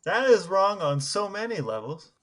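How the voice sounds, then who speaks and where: Higher-voiced man in casual podcast chat. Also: very animated, moderate pace, fairly bright tone. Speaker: podcast co-host.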